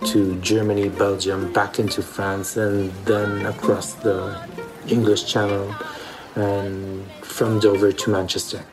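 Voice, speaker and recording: A man's voice: lowish voice, level tone, slow, poor audio. Earnest man in reflective interview, music behind. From East Jerusalem. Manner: earnest, repeats words for emphasis. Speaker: interview guest, theater director.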